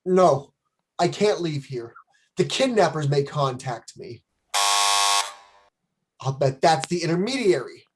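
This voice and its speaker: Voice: mid-range voice, lively intonation, slow, pauses often, noisy background. A performer playing an anxious, sappy, lovestruck bridegroom in a detective radio play. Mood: worried.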